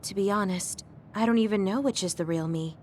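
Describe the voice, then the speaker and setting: An actress playing a gentle, polite and self-effacing young woman in a video game. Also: mid-range voice, a little fast, a little hiss or hum, close to an everyday voice. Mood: thoughtful, reassuring. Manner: polite, apologetic.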